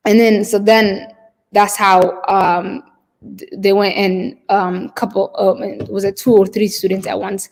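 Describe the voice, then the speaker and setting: A woman's voice: mid-range voice, level tone, measured pace, vocal fry, darkish tone. A woman from Somalia, telling personal stories as a keynote speaker over a video call, hesitant with many fillers and restarts. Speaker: keynote storyteller. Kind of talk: personal storytelling. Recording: long hall reverb.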